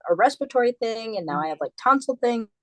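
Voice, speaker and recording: A woman's voice: a little high, expressive, fast talker, dry recording. A woman co-hosting a casual podcast, getting over an illness, chatting loosely with lots of "like" fillers. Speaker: podcast co-host.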